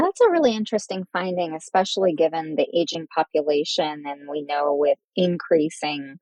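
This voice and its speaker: A woman's voice: low-pitched, darkish tone, no room sound, poor audio. A woman hosting a professional medical interview, summarizing points and asking questions in a formal, engaged way. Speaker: podcast host, physician interviewer.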